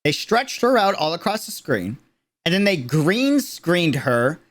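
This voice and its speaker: A young adult streamer giving casual, slangy, excitable livestream reaction commentary on a game video. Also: mid-range voice, unhurried. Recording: loud music behind the voice. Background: loud game trailer music.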